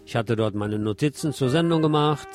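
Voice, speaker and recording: A male radio host reads scripted commentary and news in a mid-pitched voice. Faint guitar music plays behind him as he presents. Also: brisk.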